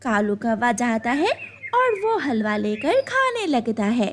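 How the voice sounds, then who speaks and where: A woman's voice: on the high side, sing-song, slow pace. A woman giving scripted narration for a children's moral story. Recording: clear background noise, nature ambience.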